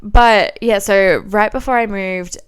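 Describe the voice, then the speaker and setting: A woman's voice: mid-range voice, steady tone, takes it slowly, smooth. A young woman with an Australian accent chatting casually on her own as a podcast host, informal, sweary and self-deprecating. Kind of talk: casual solo chat, personal storytelling.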